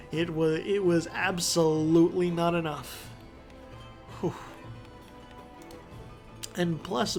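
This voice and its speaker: A man's voice: on the high side, darkish tone. A man commentating on a strategy game in a casual, rambling way, correcting himself as he goes and sounding congested. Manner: chatty, rambling, self-correcting.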